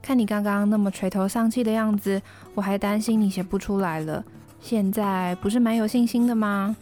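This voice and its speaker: A woman's voice: medium pitch, steady tone, moderate pace, darkish tone, faint music behind, lightly acted. A woman with a Taiwanese Mandarin accent playing a composed, helpful, know-it-all young dorm mate in a podcast drama. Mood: encouraging. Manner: matter-of-fact, reassuring, know-it-all. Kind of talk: podcast radio drama acting.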